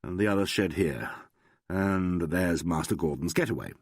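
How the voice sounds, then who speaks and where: A man voicing an urbane gentleman detective who talks quietly in a musing, wry way. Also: sits low, normal speed, no echo, clean studio sound, pitched below the performer's own voice.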